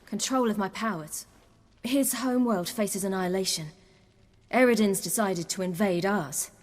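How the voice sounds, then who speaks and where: Woman doing a young warrior on the run, video game, husky voice, earnest but wry, lots of reverb. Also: mid-range voice, natural voice. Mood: bitter, wry. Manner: measured, earnest storytelling with dry wit. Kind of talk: video game dialogue acting.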